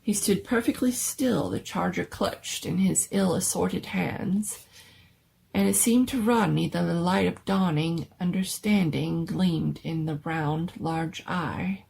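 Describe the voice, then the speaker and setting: Husky, low-voiced woman telling a story. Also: slow, darkish tone. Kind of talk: dramatic reading of a scary story.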